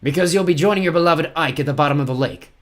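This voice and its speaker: A man's voice: on the high side, fast talker, voice pushed up, natural voice. A man voicing a menacing, sly one-eyed sea captain villain with a mocking, theatrical manner in a storybook reading. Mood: threatening.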